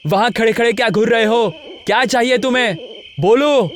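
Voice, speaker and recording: A man's voice: very high voice, moderate pace. An actor playing an irritable young male driver in a horror story, blunt and challenging. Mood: angry, demanding. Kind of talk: audio horror story acting.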